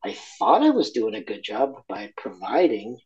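A man from Argentina speaking candidly as an interview guest, reflective and halting, with many fillers and restarts. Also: on the low side, wildly swooping pitch, darkish tone, muffled recording, a little hiss or hum.

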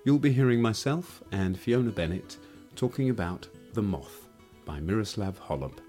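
A man's voice: lowish voice, lively intonation, moderate pace, dark tone, faint music behind. A man hosting a podcast, introducing a guest's conversation as scripted narration. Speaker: podcast host and interviewer. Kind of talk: podcast introduction and gentle interviewing.

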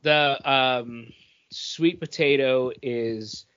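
A man's voice: medium pitch, drawn-out words, fairly bright tone, clean tone. Male co-host, mid-range voice, informal chat, lo-fi sound. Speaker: podcast co-host. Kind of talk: casual conversational chat. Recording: dull, lo-fi audio.

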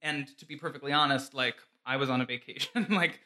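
A man's voice: medium pitch, brisk, bright, forceful tone. A man co-hosting a casual chat, telling an anecdote animatedly, laughing often and using "like" a lot. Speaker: podcast co-host.

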